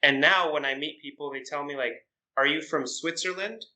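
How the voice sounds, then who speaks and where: A young man as a podcast guest, telling stories casually with frequent pauses and restarts. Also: a little high. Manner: relaxed storytelling, hesitant with "uh" and restarts. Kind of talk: casual chat.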